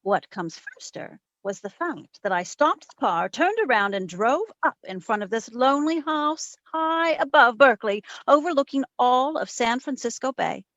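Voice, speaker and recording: A woman's voice: on the high side, lively intonation, moderate pace, few pauses, fairly bright tone, no room sound, highs cut off. A woman playing a wisecracking, cool-headed female private investigator in an old-time radio detective drama. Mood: wry, dramatic. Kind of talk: 1940s radio detective acting and narration.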